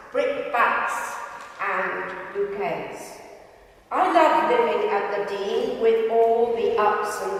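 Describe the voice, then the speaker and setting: A woman reads poetry aloud at an unhurried, deliberate pace, pausing between phrases. Her voice rings around a big hall with a long reverb, picked up at a distance from the microphone. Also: medium pitch. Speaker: reader at a live literary event. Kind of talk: reading poems and short prose aloud to an audience. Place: large indoor gym hall at a live reading.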